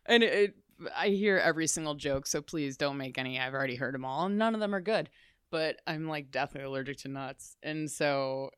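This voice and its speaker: Loud, chatty woman in a dry room. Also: deep voice, brisk, clean tone, dry recording. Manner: loud, chatty, laughs often. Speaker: guest. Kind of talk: casual interview chat.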